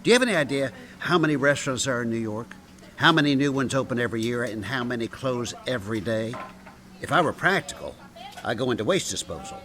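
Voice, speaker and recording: An actor as wisecracking restaurateur, animated delivery. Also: male voice, mid-range voice, fast, a little hiss or hum, lightly acted. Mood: wry, philosophical. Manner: wisecracking, theatrical, teasing with endearments. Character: wry, big-hearted restaurateur and ex-bartender, teasing and affectionate. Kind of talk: comedic radio drama acting.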